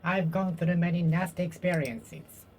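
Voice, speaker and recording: A Japanese-speaking learner practicing English alone, slowly and carefully repeating the same sentences, while getting over a cold. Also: mid-range voice, steady tone, darkish tone, rough recording. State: recovering from a cold. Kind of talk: self-study speaking practice, repeating phrases aloud.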